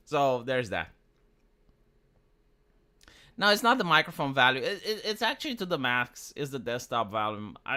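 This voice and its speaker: A man from Romania rambles through a casual livestream, swearing freely and often restarting his sentences. His voice is bright, and its pitch swings widely as he talks. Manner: rambling, profane, frequent restarts. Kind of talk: casual streaming chat.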